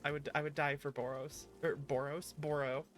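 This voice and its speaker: A woman's voice: low voice, flat delivery, normal speed, soft background music. A woman chatting casually and playfully as she plays a video game, reacting aloud. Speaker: streamer playing a video game. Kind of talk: casual gameplay chat, reading dialogue aloud.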